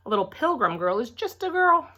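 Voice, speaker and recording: A woman's voice: on the high side, big pitch swings, sharp tone, pitched above the performer's own voice, exaggerated voice. A woman voicing a boastful, bossy schoolgirl in a children's storybook, with a taunting, show-off manner. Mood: smug, taunting. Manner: taunting, show-off, drawn-out emphasis.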